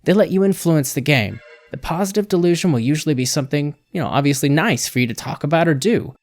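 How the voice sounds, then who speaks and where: A man's voice: fairly high pitch, animated, fast talker, a little mellow. A man reading a scripted video game review as a voice-over narration. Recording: music behind the voice. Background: background music.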